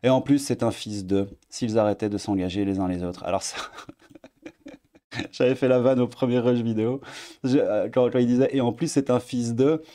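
A man in his thirties with a Belgian French accent, talking in a casual, sarcastic commentary vlog from his home desk and jumping into high mocking tones. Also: moderate pace. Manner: sarcastic, animated, swings pitch up for mock emphasis. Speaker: YouTuber commentator.

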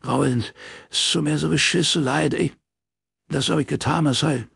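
A man's voice: medium pitch, slow, creaky, husky, no room sound, clean studio sound, pitched above the performer's own voice, full character voice. A man voicing another man who is sobbing and begging in panic. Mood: desperate pleading. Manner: pleading, whimpering.

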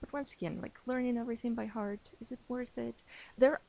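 Young female podcast guest, native Armenian speaker, gravelly fry, chatty with lots of fillers. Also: on the high side, steady tone, a little fast, dark tone, low-quality recording. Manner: chatty, laughs often, many fillers and restarts. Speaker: podcast guest, English teacher. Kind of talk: casual interview chat.

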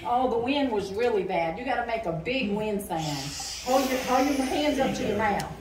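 A woman's voice: on the high side, normal speed. A woman telling a Bible story to children in simple, repeated phrases, coaching them to respond, recorded from across a church sanctuary. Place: church sanctuary stage, indoors. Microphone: recorded by a distant audience camera. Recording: distant, echoey church hall, noisy room. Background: children and room noise.

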